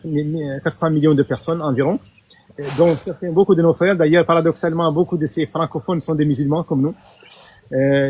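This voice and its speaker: A man's voice: fairly high pitch, breathy edge. A man who leads a party from Mauritania, giving thoughtful answers in an interview and often restarting his phrases. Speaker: political party leader, interview guest.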